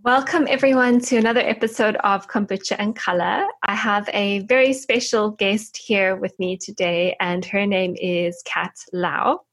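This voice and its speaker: A woman's voice: medium pitch, fairly even intonation. Enthusiastic woman hosting a podcast. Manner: enthusiastic, quick bursts of speech. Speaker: podcast host. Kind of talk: podcast interview.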